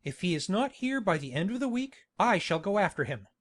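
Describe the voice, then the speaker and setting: A woman voicing a hearty, insistent country gentleman who speaks in a bluff, coaxing way. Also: mid-range voice, big pitch swings, a little fast.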